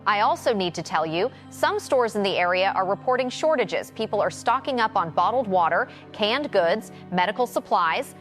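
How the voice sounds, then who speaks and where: Actress playing female field reporter, grave, composed, audio drama newscast, mid-range voice, soft music underneath. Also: mid-range voice, lively intonation, moderate pace, hardly any pauses, lightly acted. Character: on-scene TV news reporter, grave and composed woman. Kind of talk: scripted live news report in audio drama.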